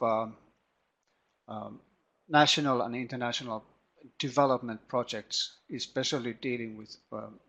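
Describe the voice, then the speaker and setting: A middle-aged man with a Finnish accent gives an online lecture as a teacher trainer, speaking haltingly and often repeating words. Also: mid-range voice. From Finland. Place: home study room. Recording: muffled lo-fi online call audio.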